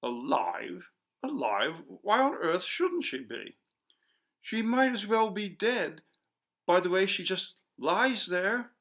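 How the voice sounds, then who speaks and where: A man's voice: sits high, very animated, slow pace, fairly bright tone, pitched above the performer's own voice, heavily acted. A man voicing an impatient, severe upper-class lady in an exasperated, imperious manner for a storybook reading.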